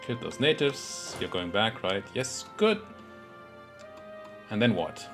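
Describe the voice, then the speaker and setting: A man with a cold, his voice a bit hoarse, casually commenting on his strategy game as he plays, thinking aloud with fillers and restarts. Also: lowish voice, sing-song, slow, music in the background. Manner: muttering thoughts aloud, self-talk with fillers and restarts. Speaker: let's play gaming commentator. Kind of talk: casual live gameplay commentary.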